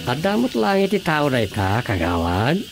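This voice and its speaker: An actor with an Ilocano accent playing a village councilor in a radio drama comedy, with a gravelly voice. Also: medium pitch, wildly swooping pitch, normal speed, over loud music. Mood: opinionated. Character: village councilor discussing health and bad habits. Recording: noisy radio drama track.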